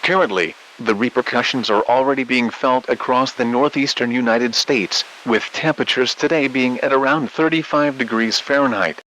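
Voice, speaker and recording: A man playing a formal weather radio announcer, reading measured scripted warnings. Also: mid-range voice, a bit bright, close to an everyday voice, poor audio. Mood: formal, serious. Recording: faint hiss, weather radio broadcast.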